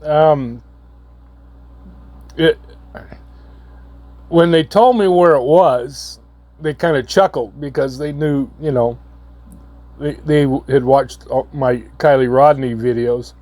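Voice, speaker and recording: A hoarse man, coming down with a cold, giving a halting, earnest update to his viewers. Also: on the high side, a little mellow. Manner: halting, repeats words, slow and earnest. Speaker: channel host. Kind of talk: casual vlog update.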